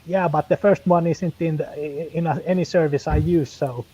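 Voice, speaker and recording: Halting Finnish-native man, dull sound. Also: on the high side, fairly even intonation, warm tone, a little hiss or hum. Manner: halting, full of fillers and restarts while searching for words. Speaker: guest. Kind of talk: casual live-stream chat.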